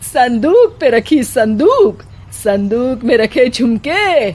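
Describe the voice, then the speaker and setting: Woman voicing a haughty old mother-in-law, slowly declaiming verse. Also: fairly high pitch, sing-song, darkish tone, exaggerated voice. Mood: pompous, boastful. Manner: theatrical, drawn-out verse recitation.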